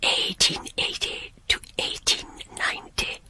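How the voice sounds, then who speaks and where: An actress playing an eerie female voice in a radio ghost story, reciting facts in a breathy, hushed whisper. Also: unhurried. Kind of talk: radio horror drama.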